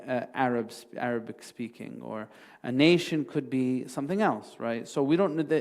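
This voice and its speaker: A man speaking as a religious teacher in an informal lecture, explaining step by step and checking in with listeners through short questions. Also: on the high side. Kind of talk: informal Islamic class lecture, answering a question.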